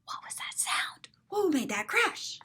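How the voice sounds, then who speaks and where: A woman acts out a storybook night watchman on his rounds, puzzled and searching. He questions and exclaims with lively swings in pitch, at an unhurried pace. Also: whispered, heavily acted. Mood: puzzled, alert.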